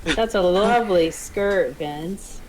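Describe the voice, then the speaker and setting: A woman's voice: lowish voice, slow pace, crowd noise, low-quality recording. A woman co-host chatting casually and jokingly.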